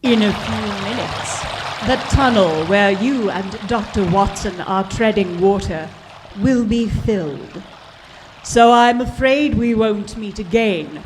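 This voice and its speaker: Slow, gloating criminal mastermind. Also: male voice, high-pitched, noisy background. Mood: gloating menace. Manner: slow, drawn-out, theatrical gloating. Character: gloating male criminal mastermind villain, menacing. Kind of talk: old-time radio drama acting.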